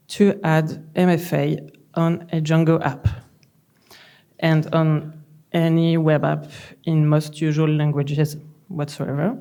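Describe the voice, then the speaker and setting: A middle-aged woman from France with a French accent, giving a calm, gently humorous conference talk from a lectern in a large hall. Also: low voice. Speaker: conference speaker.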